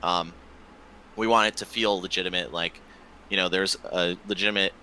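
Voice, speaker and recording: Deep-voiced young man, bright and forceful tone. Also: fairly even intonation, normal speed, slight background noise, low-quality recording. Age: 20s. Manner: loose and talkative, heavy use of filler words and swearing. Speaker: podcast guest, esports tournament organizer. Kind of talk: casual podcast chat.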